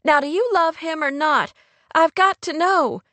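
A woman narrator acts out a distraught young woman from a romance audiobook. Her voice is pitched very high and sounds tearful and sharp. She pushes and demands with sarcastic, near-hysterical urgency, recorded dry. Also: unhurried, no echo. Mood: accusing, hurt. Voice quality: trembling, tearful.

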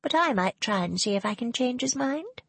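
Woman voicing a spiteful, high-pitched young society lady. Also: expressive, moderate pace, vocal fry, husky, dry recording, exaggerated voice. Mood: spiteful, warning. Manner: sharp, hissing, imperious. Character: spiteful, jealous young society lady, bossy twin sister.

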